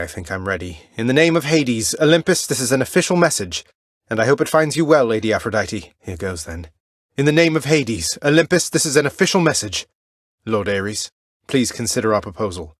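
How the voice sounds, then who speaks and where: An actor playing a young, rebellious underworld prince in a video game, wry and cocky, with dry, nonchalant understatement. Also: male voice, lowish voice, dry recording. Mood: tentative, polite, then formal, proclaiming. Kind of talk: scripted video game character lines.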